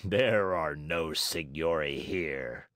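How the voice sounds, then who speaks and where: A man voicing a huge, gruff, bearded puppet master with a hoarse voice, blustering but soft-hearted, in a storybook reading. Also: a bass voice, takes it slowly, dry recording, studio quality, voice pushed down, full character voice. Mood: stern, commanding.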